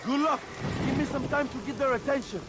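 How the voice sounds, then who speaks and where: A man's voice: very high-pitched, brisk, over loud music, rough recording. A man playing a fervent, theatrical Mexican rebel leader in a video game, florid and jovial, with a Mexican accent and Spanish as his first language. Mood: urgent, conspiring. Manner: florid, rhetorical, peppered with Spanish. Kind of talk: video game cutscene acting. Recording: game dialogue under loud ambience.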